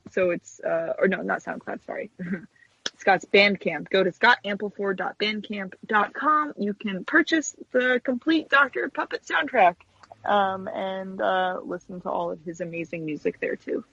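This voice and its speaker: A woman's voice: mid-range voice, fairly even intonation, measured pace, vocal fry, fairly bright tone, husky, no echo, highs cut off. A woman chatting casually as an interview guest, informal and hesitant, often correcting herself. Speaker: guest, stop-motion animator.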